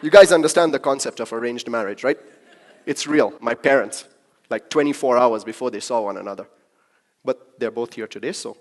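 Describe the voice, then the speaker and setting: Young man, from Kenya, relaxed talk speaker, chatty with the audience. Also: medium pitch, fairly quick, a little hiss or hum. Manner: conversational, jokes with the crowd. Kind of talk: personal talk to a live audience.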